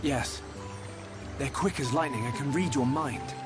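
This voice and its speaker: An actor playing an earnest, thoughtful young hero in a video game. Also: male voice, mid-range voice, unhurried, music in the background, close to an everyday voice. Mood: serious. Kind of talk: video game cutscene acting.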